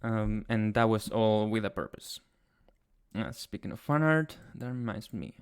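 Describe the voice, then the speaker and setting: A young man from Chile with a Chilean Spanish accent, hosting a casual livestream and answering chat in a soft, hesitant way with many pauses. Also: lowish voice, smooth. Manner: soft-spoken, hesitant, many ums and restarts. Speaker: ASMR channel host. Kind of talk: casual livestream chat, reading viewer comments.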